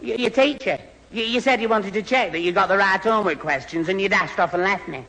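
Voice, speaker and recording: A man's voice: high voice, fairly quick, pushed and bright. An actor playing a gormless, put-upon young man who stammers and splutters in exasperation in an old radio sitcom. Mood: hesitant. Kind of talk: 1970s radio sitcom acting. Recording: reverberant old radio recording, faint noise.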